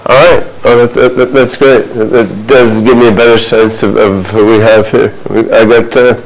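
Stammering low-voiced man lecturing in a classroom. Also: gravelly, a little hiss or hum, poor audio. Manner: stammering, repeats words. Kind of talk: casual classroom remarks. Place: large classroom.

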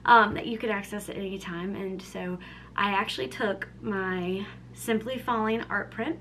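A woman's voice: mid-range voice, steady tone, normal speed, fairly bright tone, a little hiss or hum. A young woman talking casually and upbeat to camera in her home kitchen, full of fillers, filmed close up. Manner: chatty, upbeat, filler-heavy with lots of "like" and "um". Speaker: lifestyle vlogger. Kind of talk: casual chatty vlog. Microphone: camera close up at arm's length.

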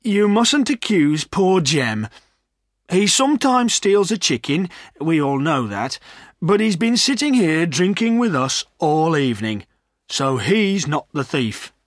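A man's voice: on the high side, big pitch swings, slow pace, no echo, studio quality, pitched above the performer's own voice. A man voicing a village innkeeper in a storybook, firm and placating as he keeps the peace. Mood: reproving.

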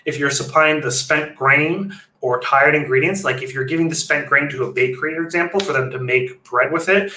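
A man's voice: mid-range voice, sharp tone. A man in his thirties giving an informal webinar lecture as a brewery consultant, with some fillers and restarts. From Canada. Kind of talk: slide presentation lecture. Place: home room, indoors. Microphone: webcam video call. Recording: echoey room on a webcam mic.

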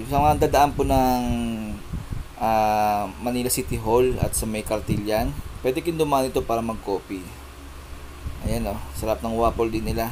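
A young man giving a polite, chatty walkthrough of a coffee shop, filmed on a handheld phone. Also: medium pitch. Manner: chatty, polite tour-guide narration. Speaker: vlogger. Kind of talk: casual walkthrough vlog. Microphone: handheld phone camera. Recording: noisy cafe with air conditioning.

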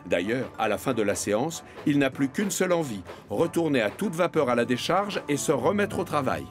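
A man narrating a children's cartoon as an expressive storyteller, in a scripted storybook style. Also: medium pitch, moderate pace, music in the background.

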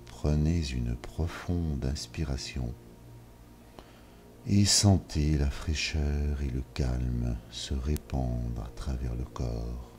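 A man leading a yoga nidra session, giving calm, measured instructions with long pauses. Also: a bass voice. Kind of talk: slow guided relaxation. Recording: soft music behind. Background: soft ambient music.

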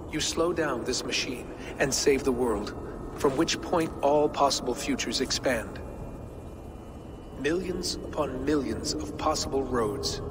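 A man doing a precise, calm calculating being. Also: mid-range voice, noisy background, close to an everyday voice. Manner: precise, measured, matter-of-fact. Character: calm, precise man who reads probabilities. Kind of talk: video game cutscene. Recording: game audio with music behind.

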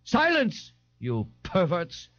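A man's voice: a little high, sing-song, moderate pace, lo-fi sound, pitched above the performer's own voice. A man voicing a pompous, indignant fat man who speaks in a grave, grandiloquent, formal way in an audiobook. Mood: outraged.

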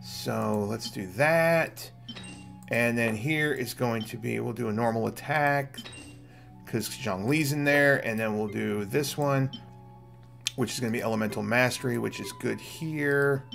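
A man's voice: mid-range voice, measured pace, creaky, a bit bright. A middle-aged man giving casual live commentary as he plays a game. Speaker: game streamer. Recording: game music behind the voice. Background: game music.

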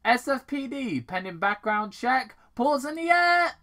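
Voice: sits high, very animated, measured pace, pushed and bright, voice pushed up, exaggerated voice. Amateur reader as a joking gung-ho British-accented dad. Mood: alarmed. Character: joking, gung-ho small-town father, a police officer.

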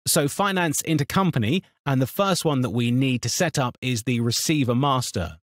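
A man narrating a scripted software tutorial in an instructional, step-by-step manner. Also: medium pitch, moderate pace, dry recording, studio quality.

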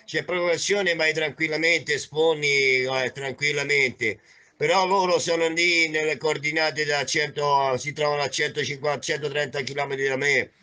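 Bright-voiced man chatting on the radio. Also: a little high, fairly even intonation, moderate pace. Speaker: amateur CB radio operator. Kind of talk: casual radio chat.

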